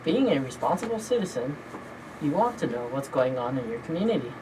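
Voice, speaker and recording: A woman with a low voice hosts a college radio interview, asking casual, upbeat questions. A noticeable hiss sits behind her voice. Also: very animated, fairly quick. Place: small radio studio. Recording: noisy background hiss.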